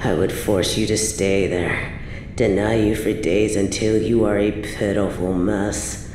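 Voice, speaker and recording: A woman's voice: a bass voice, dark tone, roomy sound, a little hiss or hum, heavily acted. A woman playing an obsessive, possessive evil medieval queen in an audio roleplay, speaking in a theatrical, formal, savouring way with seductive menace. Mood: cruel, possessive. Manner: theatrical, formal, savouring, dropping into breathy whispers. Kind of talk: scripted dramatic audio roleplay monologue.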